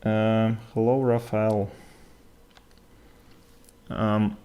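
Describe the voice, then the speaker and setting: A young man from Latvia with a Russian accent, commentating a live chess game in a halting way, with many fillers and pauses. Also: lowish voice, level tone, dark tone, clean tone.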